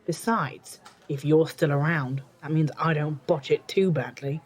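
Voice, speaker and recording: An actor playing an arrogant, sarcastic young occultist in an audio drama, speaking with overconfidence. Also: male voice, fairly high pitch, steady tone, a little slow, husky. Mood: smug, dismissive. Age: young adult.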